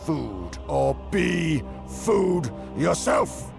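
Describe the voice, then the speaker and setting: An actor playing a pompous, contemptuous demon uncle who mentors with menace in a theatrical radio drama. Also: male voice, on the high side, big pitch swings, creaky, over music, full character voice. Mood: menacing. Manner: pompous, deliberate, sweeping from hushed to thundering.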